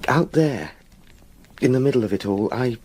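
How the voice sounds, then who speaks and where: An actor playing an earnest young English gentleman recovering from fever, polite and formal, in a period radio drama. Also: medium pitch, lively intonation, normal speed, lightly acted. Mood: reflective, earnest.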